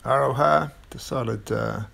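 A man, narrating his sailing trip in a relaxed, plain-spoken voice-over. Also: medium pitch, measured pace, vocal fry, slightly rough. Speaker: sailing vlogger.

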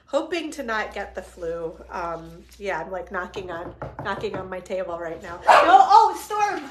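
A woman in her forties, a bit hoarse from a cold, chattily demonstrating craft supplies on a home live stream and greeting viewers by name. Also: medium pitch, very animated, a little slow, very noisy. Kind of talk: casual live-stream demo. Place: living room at home.

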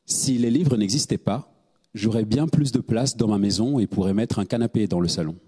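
A young man reading short texts aloud in a calm, measured way, into a handheld mic in a studio. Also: fairly low pitch, slightly rough, long reverb tail. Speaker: actor reading dedications. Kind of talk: read-aloud. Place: small studio set.

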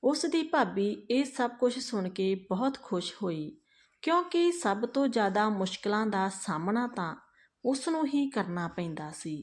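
A woman reads a moral family story aloud in a measured, earnest way. Her voice sits at an ordinary middle pitch. She ends by addressing her listeners directly. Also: expressive.

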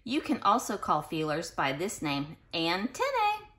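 A woman with a slightly low voice reads a picture book to young children in a teacherly way. Her intonation swings widely and expressively. She enunciates with care and breaks off for explanations and questions to her listeners. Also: wildly swooping pitch, measured pace, fairly bright tone. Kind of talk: read-aloud with explanatory asides to children.

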